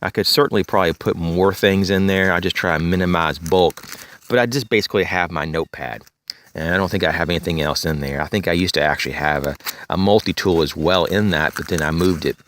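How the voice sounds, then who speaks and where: A man with a slightly raspy, congested voice, casually reviewing his backpack outdoors, rambling with many fillers and clearing his throat. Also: low-pitched, brisk, a bit bright, slight background noise. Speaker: gear reviewer, channel host. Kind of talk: casual show-and-tell gear review. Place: outdoors in leafy woods, bag on a chair.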